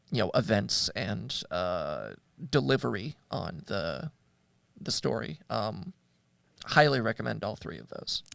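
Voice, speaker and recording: A man's voice: lowish voice, creaky, fairly bright tone, no room sound. A man in a casual discussion as a show host, speaking hesitantly and searching for his words. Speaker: podcast host. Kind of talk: casual discussion of audio dramas.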